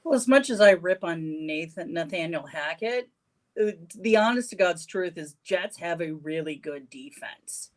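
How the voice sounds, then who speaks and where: A woman's voice: lowish voice, lively intonation, normal speed. A woman panelist in a casual football chat who talks candidly and laughs mid-sentence.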